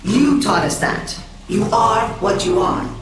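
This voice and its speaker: A man voicing a fierce, loyal giant female crocodile mutant in a cartoon drama, slow and blunt. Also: high-pitched, animated, over a noisy crowd. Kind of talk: animated audio drama acting. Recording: very echoey, distant, loud music behind.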